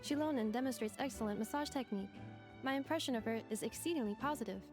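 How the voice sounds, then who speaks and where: Woman as a formal, high-voiced robot girl. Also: over music, natural voice. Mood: matter-of-fact. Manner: formal, precise, measured. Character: polite, literal-minded female robot companion. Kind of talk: video game voice acting.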